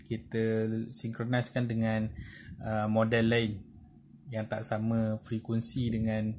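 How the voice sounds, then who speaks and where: A man's voice: fairly low pitch, darkish tone. Malaysian man explaining, noisy amateur recording. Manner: halting, step-by-step explaining with frequent check-in tags. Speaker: presenter of a tutorial. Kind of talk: informal hands-on tutorial.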